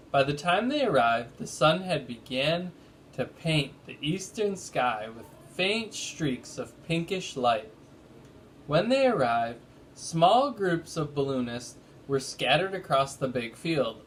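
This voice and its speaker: A man with a slightly high voice reads a children's book aloud in the manner of a teacher. He moves between casual chat and storytelling. There is some light noise behind him. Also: sing-song, unhurried, rough recording. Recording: noticeable background noise.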